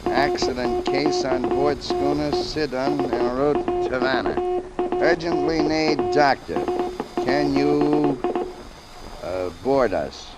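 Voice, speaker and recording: Puzzled radioman, animated and fairly high, clipped reporting; vintage radio drama with loud music. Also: slow pace, exaggerated voice, low-quality recording. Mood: puzzled, urgent. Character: puzzled ship's radio operator reporting a distress call. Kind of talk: 1940s radio drama acting.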